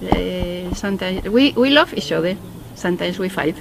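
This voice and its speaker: A middle-aged native Spanish speaker, a woman with a Spanish accent, speaking halting English in a casual group interview and searching for words. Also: lowish voice, animated, vocal fry, very noisy. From Spain.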